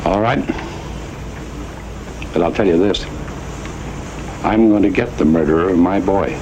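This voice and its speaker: An American actor playing a grave, resolute father turned lawman in an old western drama, speaking deliberately. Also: deep voice. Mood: stern resolve. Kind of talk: mid-century western drama acting. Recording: noisy old western soundtrack.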